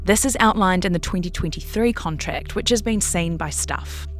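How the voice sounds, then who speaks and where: A woman's voice: lowish voice, normal speed, soft background music. A woman reading a scripted long-form news feature as narrator.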